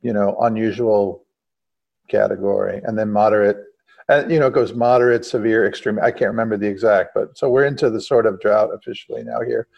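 A man's voice: fairly low pitch, steady tone, a little fast, gravelly. An American man co-hosting an environmental news podcast, speaking in a hedging, self-correcting way. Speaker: podcast co-host. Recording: dull, muffled lo-fi audio.

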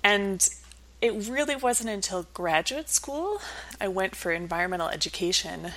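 A woman's voice: mid-range voice, lively intonation, normal speed, pushed and bright, rough recording. A woman speaking as an academic guest in an interview, explaining things in a reflective, conversational way. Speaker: academic researcher as podcast guest.

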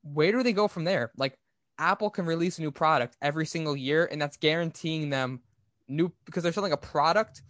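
Higher-voiced man, dry close podcast sound. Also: a little fast, a bit bright, no echo. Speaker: podcast host. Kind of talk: conversational business commentary.

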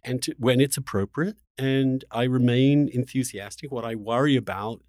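A man hosting a conversational interview, offering opinions in a halting way that restarts and then turns emphatic. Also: medium pitch, fairly even intonation, darkish tone, no echo, studio quality. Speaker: podcast host.

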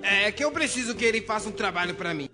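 A man's voice: high voice, expressive, quick pace. A male performer playing a spoiled, cruel, haughty farmer's son who bosses others around in a radio drama. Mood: mocking. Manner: haughty, commanding. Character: spoiled, bossy, cruel rich farmer's son, schoolboy bully.

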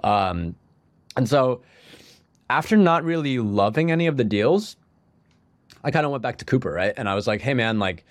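A man's voice: medium pitch, animated, normal speed, long pauses, no echo. A young man, a musician guest on a podcast, telling his story in a rambling casual way full of "like" and restarts, a bit sniffly from a cold. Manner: rambling, heavy use of "like", frequent restarts.

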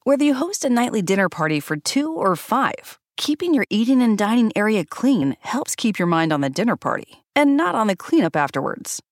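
Animated woman voicing a commercial. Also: fairly high pitch, a little fast, hardly any pauses, dry recording, studio quality. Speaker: advertisement announcer. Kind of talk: scripted commercial narration.

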